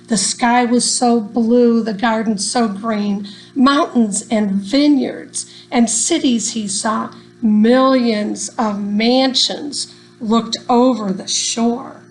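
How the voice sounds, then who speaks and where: A woman reading a rhyming children's story aloud in an expressive, deliberate storytelling manner. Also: a little high, a little hiss or hum, low-quality recording. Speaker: storybook reader.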